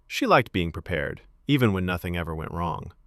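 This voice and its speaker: A man's voice: low voice, big pitch swings, moderate pace, a bit bright, no room sound, clean studio sound. A man reading scripted fiction narration as a storyteller.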